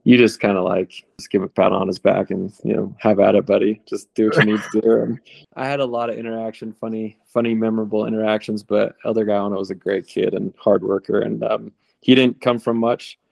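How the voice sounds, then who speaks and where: A man in his late thirties, a returned missionary, telling stories in a relaxed, rambling interview style. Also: fairly low pitch, fairly quick, vocal fry, no echo. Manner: relaxed, rambling with fillers and restarts. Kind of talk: conversational interview storytelling.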